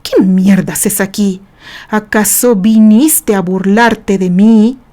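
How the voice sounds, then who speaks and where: A bitter, defiant prisoner snarls at his captors, as a woman narrator plays him. The delivery is angry and mid-pitched, in a storybook reading. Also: steady tone, slow, dark tone, close to an everyday voice. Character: angry jailed red-haired man, bitter.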